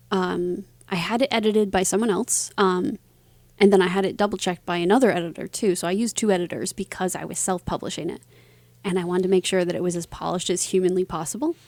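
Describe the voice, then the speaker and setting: A woman being interviewed as an author, answering conversationally in a reflective way with halting phrasing. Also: medium pitch, darkish tone, no echo.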